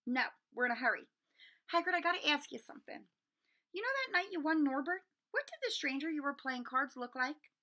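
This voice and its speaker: A woman reads as an anxious schoolboy wizard in a children's storybook. He is earnest and insistent, his bright, high voice jumping around with urgency. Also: big pitch swings, fairly quick, full character voice. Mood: pressing.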